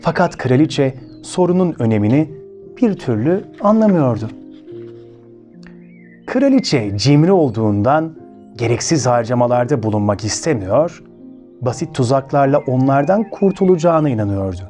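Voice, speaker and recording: Male children's storytelling host, Turkish accent, mellow and slightly high, highly expressive, with music underneath. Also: moderate pace. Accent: Turkey Turkish. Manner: expressive, addresses listeners as friends. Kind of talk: children's storytelling with direct questions to listeners.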